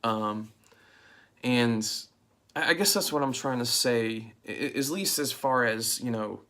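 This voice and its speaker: A man in his forties talking in a casual, reflective monologue to his channel, halting often with fillers and restarts. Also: medium pitch, bright, forceful tone. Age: 40s. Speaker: vlogger.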